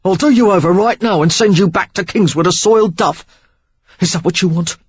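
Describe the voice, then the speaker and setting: A woman voicing a rough, intense man with a Cockney accent, speaking hoarsely and commandingly. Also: lowish voice, fairly quick, a little mellow, dry recording, muffled recording, pitched above the performer's own voice. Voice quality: husky, hoarse.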